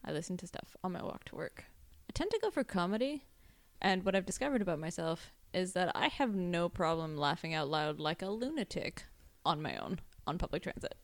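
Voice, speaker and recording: A woman's voice: fairly low pitch, lively intonation, a little fast, few pauses, no echo. A young woman from Ontario chatting on a podcast with a wry, self-deprecating sense of humor. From Ontario, Canada. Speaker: podcast team member. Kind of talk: casual team chat.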